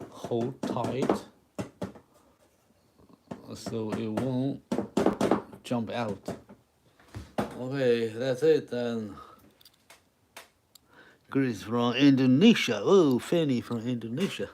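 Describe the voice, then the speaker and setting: Slow-talking man with a Taiwanese accent. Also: medium pitch, very noisy. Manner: halting, repeats short phrases, talks to viewers. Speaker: live-stream drawing artist and host. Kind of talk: casual live-stream chat with drawing demonstration.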